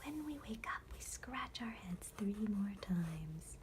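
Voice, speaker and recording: A woman voicing contented, routine-bound butterflies in a measured whisper for an ASMR bedtime storybook. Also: mid-range voice, very animated, background noise, pitched above the performer's own voice. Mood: placid, content. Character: contented, set-in-their-ways butterflies, gentle and routine-bound.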